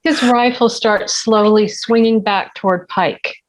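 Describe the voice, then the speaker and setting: A woman with a medium-pitched voice narrates a screenplay. She reads scripted scene descriptions and stage directions as the narrator of a table read. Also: measured pace, poor audio.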